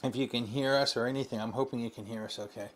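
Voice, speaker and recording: A man co-hosts a casual live stream and chats with a mid-range voice. He rambles and keeps restarting his sentences, filling the pauses as he goes. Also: mid-range voice, steady tone. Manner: chatty, rambling, with frequent fillers and restarts.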